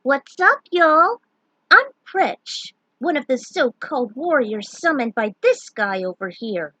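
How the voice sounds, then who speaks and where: A woman's voice: sits high, sing-song, slow pace, pushed and bright, no room sound, pitched above the performer's own voice, exaggerated voice, low-quality recording. A woman voicing a beaming, cheeky young elven girl in a fantasy story.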